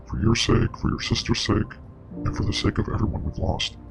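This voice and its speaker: Guy as knowing grim investigator in web horror drama, super gravelly breathy low voice, explains stuff clinically, music behind. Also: fairly quick. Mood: solemn, matter-of-fact. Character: grave, knowing male parasite expert and investigator.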